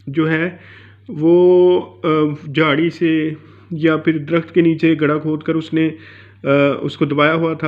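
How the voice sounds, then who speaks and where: A man teaching law informally, point by point, mixing in English terms and often adding little check-in tags. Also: on the high side. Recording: dull lo-fi sound.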